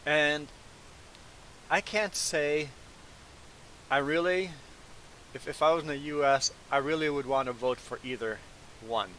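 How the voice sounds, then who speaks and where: A man's voice: a little high, a little slow, long pauses, rough recording. A man with a sniffly nose chatting casually on a live stream, talking in a rambling, conversational way. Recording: steady background hiss. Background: hiss.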